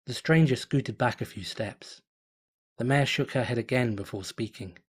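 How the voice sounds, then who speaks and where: A man reading a fanfiction story aloud in a measured, dramatic storytelling manner. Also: medium pitch, breathy edge. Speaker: fanfiction reader.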